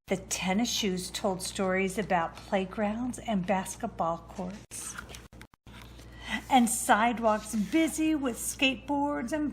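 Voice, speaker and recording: Slow-paced woman reading a children's story. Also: medium pitch, a little hiss or hum, low-quality recording. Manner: slow, deliberate narration. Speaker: storybook reader. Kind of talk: children's story read-aloud.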